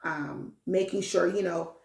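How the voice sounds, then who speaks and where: Woman guest chatting in an echoey room, a bit of fry in her voice, earnest and emphatic. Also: on the low side, steady tone, a little slow, darkish tone. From Haitian. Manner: earnest, emphatic, often asks "right?". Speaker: guest, vegan caterer and chef. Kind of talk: casual interview storytelling.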